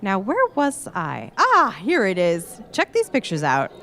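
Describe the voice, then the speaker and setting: A woman's voice: medium pitch, wildly swooping pitch, a little slow, fairly bright tone, heavily acted. An actress playing a gossipy, scatterbrained young woman glued to social media in a live radio play. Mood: breezy, nosy.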